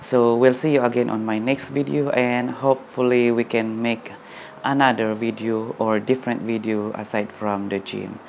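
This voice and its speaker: A man in his thirties talking casually to a handheld phone in a large indoor gym, halting with many fillers, a little out of breath after jogging. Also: mid-range voice, little pitch movement, gravelly, darkish tone, a little hiss or hum. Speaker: vlogger. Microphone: phone at arm's length.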